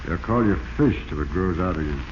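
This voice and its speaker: An actor playing a seasoned, gruffly welcoming convict with a wry, matter-of-fact 1940s radio delivery. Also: male voice, low voice, expressive, brisk, a little mellow. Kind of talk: 1940s radio drama acting. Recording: muffled, noisy 1940s radio.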